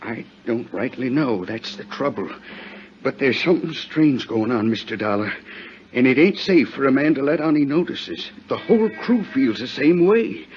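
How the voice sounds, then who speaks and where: An actor playing a scared, furtive sailor with hesitant, folksy speech in a 1950s radio drama. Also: male voice, mid-range voice, expressive, normal speed, natural voice. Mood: fearful confiding. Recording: muffled, noisy 1950s radio recording.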